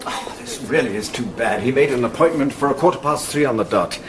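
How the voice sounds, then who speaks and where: A man's voice: medium pitch, expressive, fairly quick, noisy background, natural voice. A man playing a fussy, punctual, easily flustered bank manager in a radio drama, officious yet polite. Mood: fussy, irritated.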